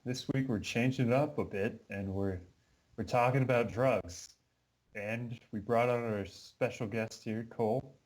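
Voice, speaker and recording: A young man hosting a casual gaming podcast, opening the show and chiming in with quick remarks. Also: mid-range voice, fairly even intonation, a little mellow, rough recording. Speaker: podcast host.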